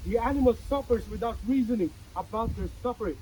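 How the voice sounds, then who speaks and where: A man playing an anguished, philosophizing character in a dramatic play reading, declaiming deliberately with dramatic pauses. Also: very high voice, mellow, a little hiss or hum, rough recording. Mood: earnest, reflective. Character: anguished, philosophizing male character pleading with a gentleman.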